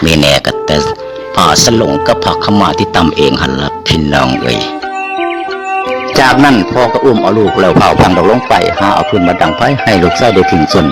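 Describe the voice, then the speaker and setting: A man's voice: sits low, very animated, a little fast. A man with an Isan accent narrating a comic folk tale in a folksy way, often addressing listeners directly. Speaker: storyteller. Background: music.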